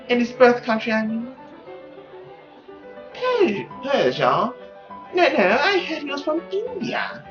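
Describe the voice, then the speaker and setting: A woman's voice: high voice, highs cut off, pitched above the performer's own voice, full character voice. A woman voicing a haughty, scornful society lady gossiping in an affected, mocking way in a visual novel. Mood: disdainful.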